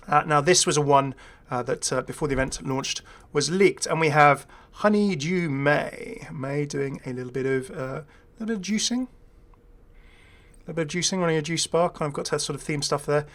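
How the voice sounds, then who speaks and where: A man's voice: on the high side, moderate pace, fairly bright tone. A man hosting a gaming video, giving casual, rambling, chatty commentary.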